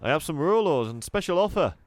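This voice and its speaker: A man's voice: fairly high pitch, sing-song, fairly quick, no echo, voice pushed up. A man voicing a jolly, chatty middle-aged male shopkeeper with eager salesman patter, in a dry recording. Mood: cheery salesy.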